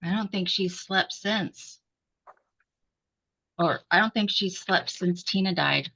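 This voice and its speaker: A woman's voice: lowish voice, slow pace, sharp tone, slightly rough, heavily acted. Woman playing worn, anxious mother, dull lo-fi audio. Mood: worried, subdued. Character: worn, anxious, protective mother of a teenage girl. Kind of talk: script table read of a horror film.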